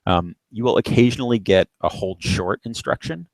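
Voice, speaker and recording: A man's voice: lowish voice, moderate pace, gravelly, no echo, low-quality recording. A man giving an informal lesson as an instructor, explaining step by step and repeating points for emphasis. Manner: explanatory, emphatic repetition for stress. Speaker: flight instructor. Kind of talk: informal live lesson on radio procedures.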